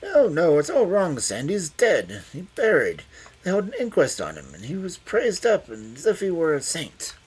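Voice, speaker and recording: Man acting agitated old gentleman, pitched high. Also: measured pace, husky, exaggerated voice, rough recording. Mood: wild, accusing. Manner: excitable, rambling, muttering exclamations. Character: agitated, feeble-minded old gentleman with guilty cunning.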